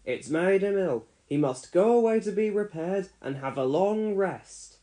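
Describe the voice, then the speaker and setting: A man as a kindly, animated railway boss. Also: sits high, a little slow, voice pushed up. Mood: concerned, kind.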